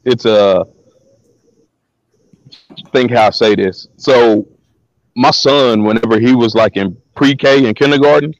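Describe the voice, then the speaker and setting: A man with a deep voice, a guest on an interview, telling stories in a relaxed, slangy way with lots of repeated words. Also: takes it slowly, pauses often, no echo, highs cut off. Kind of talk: casual storytelling interview.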